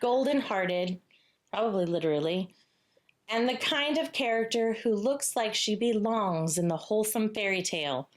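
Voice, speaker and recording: A woman co-hosting a casual book chat in a playful, cheeky manner, pausing dramatically for emphasis. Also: medium pitch, expressive. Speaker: podcast co-host.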